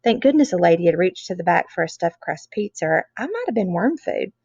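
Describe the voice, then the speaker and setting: A woman reading a children's novel aloud in an expressive, animated storytelling style. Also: on the low side, brisk, husky, dry recording. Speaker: read-aloud reader. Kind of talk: expressive children's book narration. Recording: dull, lo-fi close recording.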